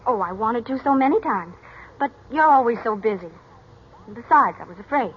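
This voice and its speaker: Spoiled teenage daughter played by an actress, highish pitch, 1940s radio acting, dull vintage sound. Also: female voice, lively intonation, brisk, slight background noise, natural voice. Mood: plaintive.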